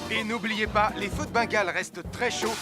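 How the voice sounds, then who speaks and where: Man playing high-voiced friendly cartoon firefighter. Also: fairly even intonation, a little fast, loud music behind the voice, lightly acted. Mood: instructive. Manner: clear, instructive, reassuring. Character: friendly, responsible adult male firefighter giving safety advice. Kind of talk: French-dubbed children's cartoon acting.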